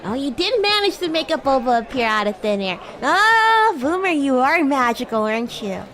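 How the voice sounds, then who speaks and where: A woman's voice: high voice, very animated, normal speed, a bit bright, a faint crowd, slight background noise. A woman voicing a bratty, cute demon classmate in an improvised VTuber roleplay, teasing and coy, easily flustered into stammering. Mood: mock offended, teasing. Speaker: VTuber streamer. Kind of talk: improvised VTuber roleplay, casual chat with viewers.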